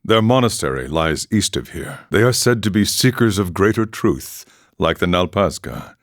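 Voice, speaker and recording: Super deep calm monk for a video game, wise and solemn, measured delivery, really dry sound. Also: male voice, dry recording. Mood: calm, musing, then solemn, serene. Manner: measured, solemn, aphoristic storytelling. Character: weathered philosophical warrior monk, calm and ascetic. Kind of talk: video game character dialogue.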